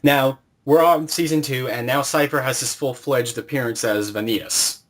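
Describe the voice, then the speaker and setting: A man's voice: medium pitch, fairly even intonation, moderate pace, a bit bright. A man conducting a casual interview, speaking conversationally as the interviewer.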